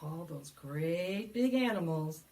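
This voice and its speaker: A woman's voice: on the low side, animated, takes it slowly, mellow. A woman hosting a preschool storytime, reading a picture book aloud in a sing-song, dramatic way and asking the children questions. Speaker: library storytime host. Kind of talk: animated preschool read-aloud with singing.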